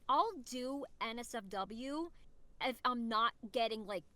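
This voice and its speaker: Bright-voiced woman, casual podcast guest. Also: on the high side, expressive, measured pace. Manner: informal, thinks aloud with frequent "like". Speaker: voice actor, interview guest. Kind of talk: casual interview chat.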